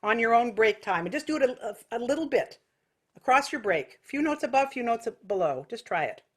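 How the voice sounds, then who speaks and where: A woman's voice: on the high side, very animated, brisk. A woman giving an informal singing lesson as a vocal technique teacher, explaining things with vivid imagery.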